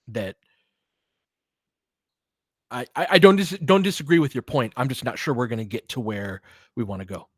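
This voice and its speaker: A man's voice: medium pitch, dry recording. Casual male sports host, close dry sound. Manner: conversational, thinks aloud, qualifies his opinions. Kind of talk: casual sports chat, answering listener comments.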